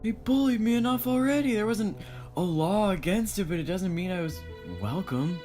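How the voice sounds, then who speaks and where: A man performs a gentle male cyborg with old hurts and a love of books. The voice sits fairly high and comes out hesitant and bashful, as in a visual novel game, with music clearly behind it. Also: animated, moderate pace.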